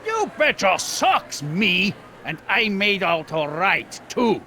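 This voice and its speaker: A man's voice: high-pitched, sing-song, takes it slowly, sharp tone, a little hiss or hum, exaggerated voice. An actor voicing a stern, proud, older immigrant father in a video game, with an emphatic, blustering manner. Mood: defiant.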